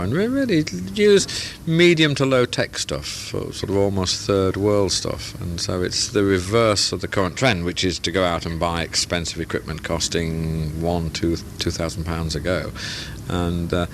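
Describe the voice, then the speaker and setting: A man's voice: deep voice, moderate pace, hardly any pauses, slight background noise. A man answering interview questions about his hobby club, explaining patiently in plain comparisons. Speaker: radio club founder.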